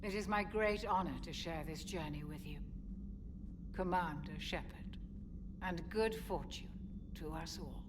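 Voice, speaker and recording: An actress playing a dignified, affectionate older ship doctor in a video game. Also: female voice, on the low side, unhurried, long pauses, background noise, close to an everyday voice.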